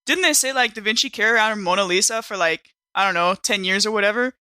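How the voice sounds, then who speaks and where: A woman's voice: mid-range voice, fast talker, bright, forceful tone, smooth, no echo, clean studio sound, close to an everyday voice. A woman playing a chatty, playful, slangy member of a space expedition, rambling breezily in a tabletop roleplay. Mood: musing. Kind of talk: improvised tabletop roleplay banter.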